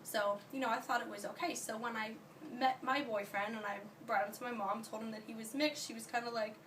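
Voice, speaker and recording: Young woman, hoarse from illness, earnest student speech, halting delivery, distant mic. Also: on the high side, steady tone, a bit bright. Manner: earnest, halting, many fillers and restarts. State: hoarse from being sick. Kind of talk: class speech. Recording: distant mic, faint ambient noise.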